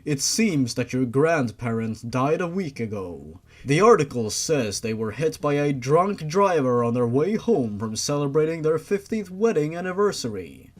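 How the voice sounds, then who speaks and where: A man voicing a stern, protective supernatural father in a read-aloud story, formal and measured. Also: on the high side, sing-song. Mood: grave. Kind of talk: story reading in character.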